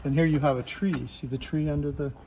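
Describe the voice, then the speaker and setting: A man's voice: a little high, fairly even intonation, fast, dark tone, slight background noise, low-quality recording. A man narrating a sightseeing walk as a casual travel vlogger.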